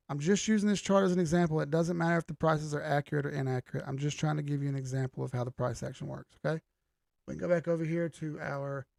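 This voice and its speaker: A man hosting a casual livestream lesson on reading price charts, chatty and often checking in with "okay?", his voice going hoarse from a cold. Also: on the high side, a little mellow, no room sound, studio quality.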